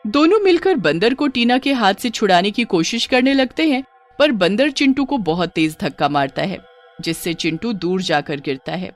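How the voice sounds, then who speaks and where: जीवंत महिला कथावाचिका. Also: female voice, medium pitch, expressive, normal speed. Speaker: narrator. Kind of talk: scripted narration of children's horror story.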